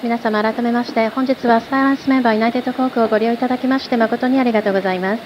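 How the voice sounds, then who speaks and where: A woman's voice: a little high, fairly even intonation, rapid-fire. A woman working as a flight attendant, reading a very polite, formal scripted announcement over a cabin PA. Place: aircraft cabin. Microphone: cabin PA handset. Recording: muffled noisy cabin PA.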